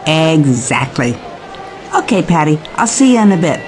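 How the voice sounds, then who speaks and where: A woman's voice: low voice, sing-song, normal speed, darkish tone, slight background noise. A woman playing an upbeat, confident event organiser in an audio drama, breezy and encouraging. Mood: pleased, breezy.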